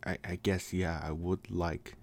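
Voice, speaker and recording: A young man playing a protective bully with a secretly tender side in an intimate ASMR roleplay, speaking softly and haltingly with gruff care. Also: sits low, little pitch movement, slight background noise. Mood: bashful, tender. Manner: halting, soft-spoken, gruffly caring.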